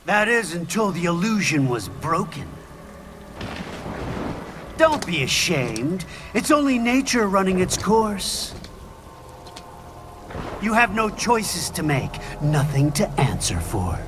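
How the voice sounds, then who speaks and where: An actor playing a scornful male villain who lectures calmly and menacingly in a video game cutscene. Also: sits high, slow, long pauses, background noise, heavily acted. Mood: menacing, lecturing. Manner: calm, lecturing, contemptuous. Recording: game cutscene with loud score.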